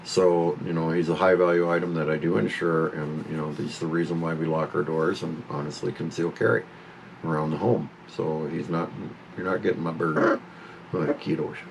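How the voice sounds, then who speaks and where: Deep gravelly man in his fifties. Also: level tone, moderate pace, a little hiss or hum, low-quality recording. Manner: rambling, informal, scolds his parrot mid-talk. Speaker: pet owner vlogger. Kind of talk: casual talk to camera. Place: at home with a parrot on his shoulder.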